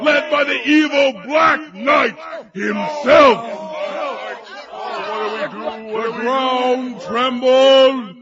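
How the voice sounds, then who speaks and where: A man narrating a mock-epic fantasy tale in a grandiose, theatrical way, swelling and falling in force. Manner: grandiose, theatrical, swelling to shouts and dropping low. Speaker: storyteller. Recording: dull sound with crowd noise behind.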